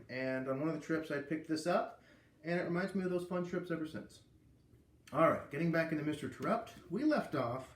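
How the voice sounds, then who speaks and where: American man reading aloud, roomy echo. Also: a little high. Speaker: read-aloud reader. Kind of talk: children's book read-aloud with casual asides.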